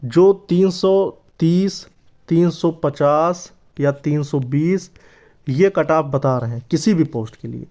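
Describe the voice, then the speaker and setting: A man with a slightly high voice speaks as an online presenter. He explains things informally, talking straight to viewers in a familiar, brotherly way and often checking that they follow. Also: takes it slowly, warm tone. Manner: familiar, brotherly direct address with repeated check-in tags. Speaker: YouTube presenter of exam updates.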